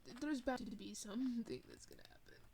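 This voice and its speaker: A woman's voice: fairly high pitch, expressive, fairly quick, a little mellow, a little hiss or hum. A young woman from Thailand streaming a game, telling a story in a chatty, rambling way full of fillers and asides. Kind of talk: casual storytelling while gaming.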